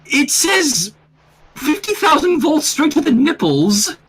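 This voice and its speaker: A man's voice: extremely high pitch, very animated, slow, a bit bright, soft background music, pitched above the performer's own voice, exaggerated voice. A man voicing a prim, ladylike young female cartoon character with fussy, dramatic phrasing. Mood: reluctant.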